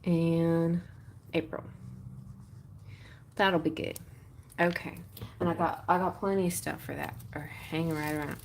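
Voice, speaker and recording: A woman speaks at an unhurried pace in a put-on Texas accent over noticeable background noise. She is hosting a live tarot reading, chatting with viewers in a playful, rambling way and thinking out loud as she goes. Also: sits low, flat delivery, vocal fry. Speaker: tarot reader hosting a livestream.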